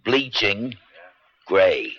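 A man's voice: lowish voice, big pitch swings, drawn-out words, fairly bright tone, breathy edge, full character voice. A man acting an earnest, nervous drugstore clerk giving testimony in a radio drama, hesitant and deferential. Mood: earnest, careful. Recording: dry, dull vintage radio broadcast.

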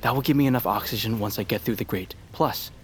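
Actor as a driven doctor, faint ambient noise. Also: male voice, lowish voice, fast talker, lightly acted. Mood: urgent. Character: driven, urgent male doctor set on a risky plan. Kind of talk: naturalistic audio drama acting.

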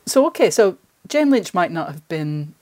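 Female podcast host, slightly low pitch, conversational interviewer delivery. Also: sing-song, normal speed, a little mellow.

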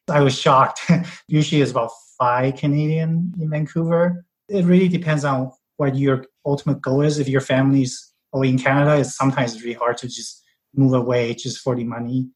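Male guest from Taiwan, calm explainer, middle-pitched voice. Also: normal speed, low-quality recording. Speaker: personal finance blogger and podcast guest. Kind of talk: casual panel discussion.

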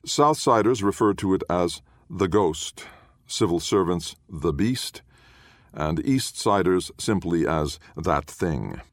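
A man narrates literary fiction in an extremely deep voice. His delivery is measured and solemn, each phrase set down deliberately. The recording is dry, with no room around the voice. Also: expressive, no echo. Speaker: audiobook narrator.

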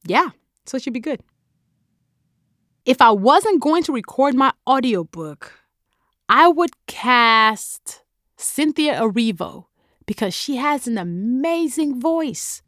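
Nigerian woman author, bright emphatic delivery, dead-dry studio read. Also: high-pitched, animated, slow pace, pauses often, no room sound. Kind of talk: reading a prepared statement about her audiobook.

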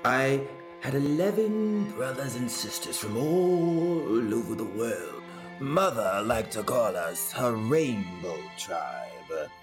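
A man telling stories as an interview guest in a theatrical, grand and droll manner, pausing for effect. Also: medium pitch, very animated, echoey room, over music. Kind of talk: theatrical personal storytelling.

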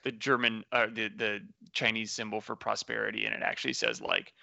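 Male podcast co-host, easygoing and joking; gravelly, dry, lo-fi recording. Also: on the low side, big pitch swings, fairly quick, sharp tone, dry recording. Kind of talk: casual chat. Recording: dry, muffled lo-fi audio.

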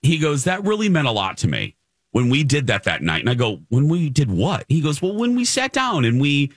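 Animated man chatting, very lively pitch. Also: breathy edge, no room sound, clean studio sound. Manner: animated, effusive, halting and repeating himself. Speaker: radio morning show host. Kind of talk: casual chatty radio talk with personal anecdotes.

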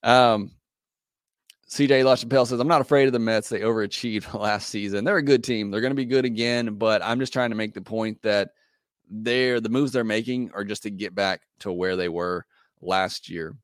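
A man hosting a podcast, reading listener comments in casual chat, hoarse as he loses his voice, talking in a loose, hesitant way full of fillers. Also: lowish voice, fairly even intonation, normal speed, no echo, clean studio sound. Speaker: podcast host.

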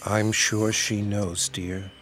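An actor playing a solemn small-town pastor with a measured, preachy, paternal manner in an audio drama. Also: male voice, on the low side, flat delivery, fairly bright tone. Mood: calm, paternal. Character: solemn, slow-talking pious small-town male pastor.

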